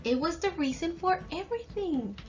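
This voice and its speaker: A woman's voice: extremely high pitch, lively intonation, normal speed, a little mellow, muffled recording. A woman reading a children's story aloud and explaining it like a teacher.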